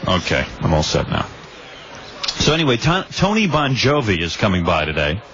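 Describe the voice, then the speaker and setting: Brash, sarcastic radio host with a low voice. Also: male voice, huge pitch swings, moderate pace, fairly bright tone. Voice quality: slightly nasal. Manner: brash, sarcastic, self-absorbed joking. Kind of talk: casual morning radio banter. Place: crowded event room with radio stations. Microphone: studio microphone. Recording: dull lo-fi broadcast tape with faint room noise.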